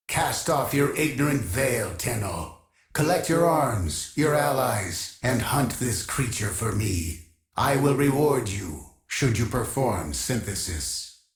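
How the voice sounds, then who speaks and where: A grand, obsessive artificial intelligence who curates knowledge in a video game. The male voice is slow and solemn, with self-important, measured phrasing. It rings out in a heavy echo with a long reverberant tail. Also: mid-range voice. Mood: commanding, solemn. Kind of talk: scripted video game character dialogue.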